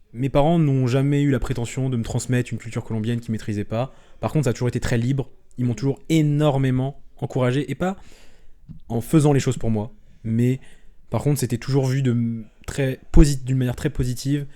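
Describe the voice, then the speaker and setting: A young French man chatting openly as an interview guest, relaxed and rambling, with frequent restarts and fillers. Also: medium pitch. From France. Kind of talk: casual conversational interview.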